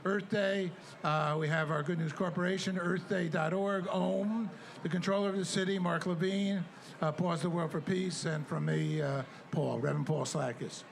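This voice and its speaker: A man emcees an outdoor stage event, handing out awards in a loose, joking way. His voice sits high and has a gravelly rasp. Faint background noise comes through the stage mic. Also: steady tone, normal speed. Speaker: host.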